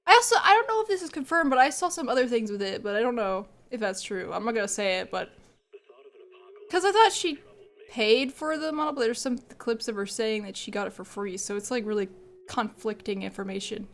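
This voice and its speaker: A woman's voice: on the high side, lively intonation, a little fast, a bit bright. A woman streamer chatting casually with her viewers, stuffed up from a cold and allergies, rambling and laughing often. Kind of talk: casual livestream chat with viewers.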